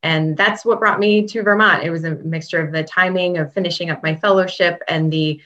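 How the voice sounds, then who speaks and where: A woman's voice: on the low side, fairly even intonation, brisk, fairly bright tone. A woman from Chicago, a public health veterinarian answering interview questions in a friendly, casual way with many ums. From Chicago, Illinois. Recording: dull lo-fi sound.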